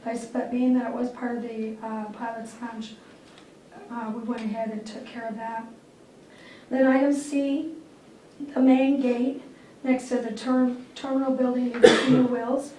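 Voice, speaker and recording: A woman with a squeaky voice and a fairly high, flat, mellow delivery reads through an airport manager's report at a board meeting. She is picked up by a distant mic in an echoey meeting room, with faint background noise. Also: a little slow. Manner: matter-of-fact, clears throat often.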